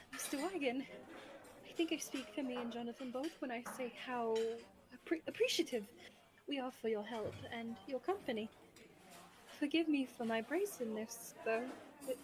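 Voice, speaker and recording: A woman playing a gentle, devoted bride in a romantic audio drama, genteel, tender and politely formal. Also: on the high side, natural voice.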